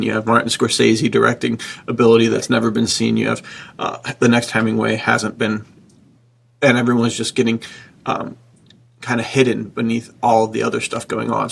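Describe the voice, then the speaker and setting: Gravelly, husky man chatting casually. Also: mid-range voice, a bit bright. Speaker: podcast co-host, writer. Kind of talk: casual chat, reflective.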